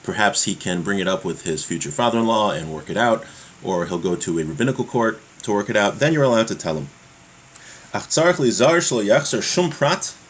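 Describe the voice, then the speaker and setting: Guy teaching religious law, kinda low voice, halting and explaining as he reads, noisy background. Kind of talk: religious law lesson, reading a text aloud and explaining it.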